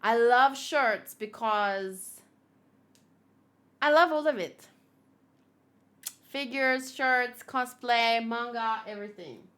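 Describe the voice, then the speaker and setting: A woman hosting a casual livestream, thinking aloud and exclaiming as she chats with viewers in an animated way. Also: fairly high pitch, slow, pauses often. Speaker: livestream host. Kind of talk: casual livestream chat, reading and answering viewers.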